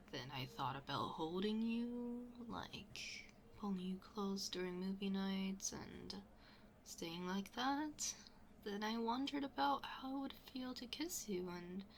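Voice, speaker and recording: A woman playing a smitten young best friend in an intimate ASMR roleplay, speaking softly and hesitantly, often half-whispering. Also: medium pitch, slight background noise. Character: smitten young best friend, shyly confessing, playfully teasing.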